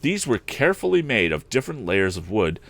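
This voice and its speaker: A man narrating an adventure novel aloud as a storyteller, with an expressive read-aloud delivery. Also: fairly low pitch, fairly quick. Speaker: storyteller, live stream host.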